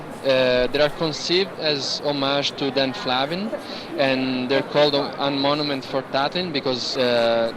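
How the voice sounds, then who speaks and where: A man with a mid-range voice speaks as a curator leading an informal tour. He explains artworks to visitors in a conversational way. There is faint noise from a busy space behind him. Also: medium pitch. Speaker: curator guiding visitors through an art fair. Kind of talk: informal guided art tour talk. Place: large indoor art fair among gallery stands. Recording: noisy fair hall ambience behind voice. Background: busy fair hall background noise.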